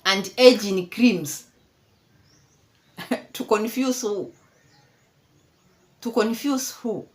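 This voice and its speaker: Slow-talking woman in her fifties, mocking. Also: a little high. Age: 50s. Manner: chatty, mocking, laughs often, repeats herself. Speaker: commentary vlogger. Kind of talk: casual commentary chat.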